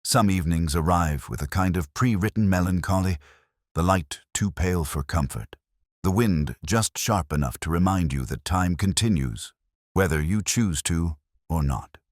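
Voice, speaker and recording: A man with a British accent narrating a detective story in the first person, measured, brooding and wry. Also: deep voice, no room sound, studio quality. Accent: British English.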